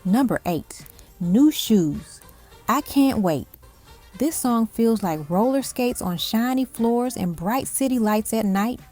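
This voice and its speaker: A woman's voice: fairly high pitch, animated, takes it slowly. A woman reading scripted narration for a music countdown, delivered in emphatic, short punchy phrases. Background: faint music under the voice.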